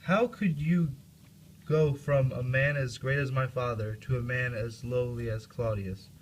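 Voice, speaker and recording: A man's voice: medium pitch, unhurried, a little hiss or hum, lightly acted, poor audio. A man playing a brooding, accusing, half-mad young prince in an amateur puppet-show play. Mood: accusing, bitter.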